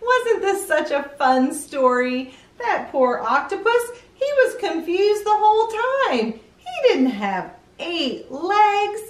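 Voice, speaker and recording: A middle-aged woman presenting to young children in an animated way, often asking simple questions. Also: extremely high pitch, slow pace, few pauses, reverberant, recorded from a distance. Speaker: children's read-aloud show host.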